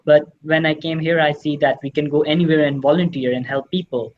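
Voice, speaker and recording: A man's voice: on the high side, fairly even intonation, a little fast, muffled recording, a little hiss or hum. A teenage exchange student from Pakistan, Urdu his first language, answering interview questions earnestly and enthusiastically. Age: teens. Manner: earnest, enthusiastic, repeats "really" often.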